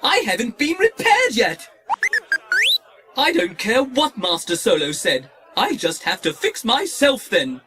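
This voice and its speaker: An actor playing a prissy, scrambled, recklessly giddy humanoid droid in an audio drama, with a fussy, theatrical delivery and music behind him. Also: male voice, extremely high pitch, wildly swooping pitch, unhurried, sharp tone, exaggerated voice. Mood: indignant.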